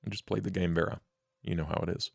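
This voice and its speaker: A man playing a courtly, world-weary vampire in a tabletop roleplay, speaking gravely in formal, deliberate, ornate phrases. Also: deep voice, fairly even intonation, a little mellow, dry recording, heavily acted. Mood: measured, scheming. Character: measured, scheming male vampire named Duke, courtly and world-weary.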